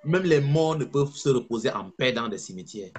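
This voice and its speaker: Fervent preaching man, lively pitch. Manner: fervent and preaching, with slow stressed phrases. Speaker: political activist. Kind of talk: impassioned political speech.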